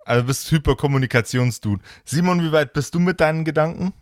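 A man with a fairly high voice speaks casually as a game master. The sound is very dry and close, with no room around it. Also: quick pace, no echo. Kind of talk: casual group chat.

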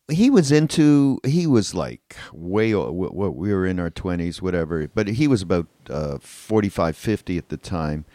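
An older man with a low-pitched voice hosts a podcast in a loose, informal style. He rambles through his recollections conversationally. The sound is close and dry, with little room in it. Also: warm tone, no echo. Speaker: podcast host. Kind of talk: casual chat, reminiscing.

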